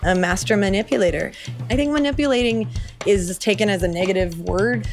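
A woman's voice: medium pitch, fairly quick. A woman giving an emphatic, dramatic documentary interview. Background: music.

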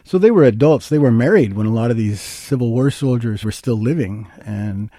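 Older man with a mellow voice. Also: mid-range voice, expressive, moderate pace, dry recording, studio quality. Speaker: interview guest, historical researcher. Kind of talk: conversational interview, storytelling.

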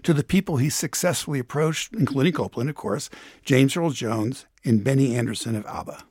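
A man's voice: medium pitch, a little fast, a little mellow, breathy edge, no room sound, clean studio sound. A man presenting a radio feature as a reporter, in a conversational, emphatic, explanatory way.

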